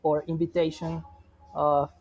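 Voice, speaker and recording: A man talks through marketing feedback at a slow, unhurried pace. His voice sits fairly high yet sounds dark and mellow. He stops and restarts often, filling the gaps with short hesitations. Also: monotone, lo-fi sound. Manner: halting, frequent restarts and fillers. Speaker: guest marketing expert. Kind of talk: reviewing examples in conversation.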